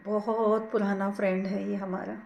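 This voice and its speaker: A woman leading a guided meditation, giving soothing, gentle, unhurried instructions. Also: medium pitch, level tone, vocal fry, slight background noise. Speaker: meditation teacher.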